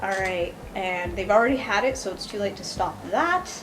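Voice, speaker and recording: A woman describes her character's moves at a tabletop game in a chatty, slightly hesitant way. Her pitch is middling. Music runs clearly in the background. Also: lively intonation, moderate pace, low-quality recording. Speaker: player. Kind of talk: casual tabletop play.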